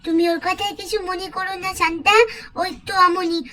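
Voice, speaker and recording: A woman voicing an excitable little girl doll in a cartoon story, childlike and eager, repeating her phrases. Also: very high voice, fairly quick. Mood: sweet, friendly.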